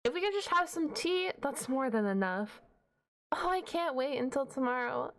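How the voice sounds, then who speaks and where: Woman playing a shy, high-voiced half-dragon librarian. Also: lively intonation, normal speed. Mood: shy, excited. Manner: hesitant, bashful, rambling with awkward humour. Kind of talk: ASMR roleplay acting.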